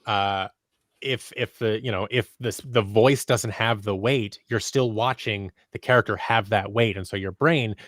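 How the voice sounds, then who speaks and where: A man in his thirties giving conversational interview answers as a podcast guest, talking in a halting, rambling way that often interrupts itself. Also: lowish voice, fairly bright tone, no echo, clean studio sound. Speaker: podcast guest, audio drama creator. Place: small home office, indoors. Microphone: close boom-arm microphone on a video call.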